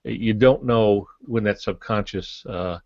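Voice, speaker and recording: Older male podcast co-host: low register, measured explanatory delivery, close dry sound. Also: a little mellow, no echo. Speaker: podcast co-host, writer and former preacher. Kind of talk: casual instructive discussion. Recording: muffled lo-fi podcast audio.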